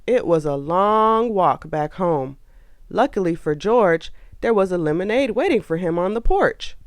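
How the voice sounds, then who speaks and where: A woman reads a children's story with expressive, animated delivery. Her pace is slow and her pitch moves freely, recorded close and dry over faint music. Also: dry recording. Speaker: storybook narrator. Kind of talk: scripted children's read-aloud narration.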